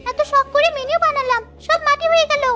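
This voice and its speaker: Very high-pitched fretful little girl acted by a woman. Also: fairly even intonation, fairly quick, loud music behind the voice. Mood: sulky. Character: pampered, fretful young daughter of a wealthy father. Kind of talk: cartoon dialogue.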